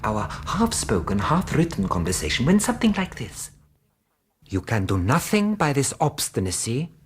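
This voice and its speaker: A man's voice: mid-range voice, huge pitch swings, moderate pace. An actor playing an earnest, fussy middle-aged Greek interpreter, telling his story formally and precisely in a radio drama. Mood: earnest, animated. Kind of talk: radio drama narration and dialogue.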